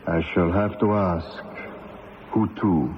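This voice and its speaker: Low-voiced, dutiful prison lieutenant. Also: male voice, steady tone, slow pace. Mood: gruff, patient. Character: dutiful, gruffly courteous male prison guard lieutenant. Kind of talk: 1970s radio drama acting. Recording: dull old radio recording, reverberant, faint noise.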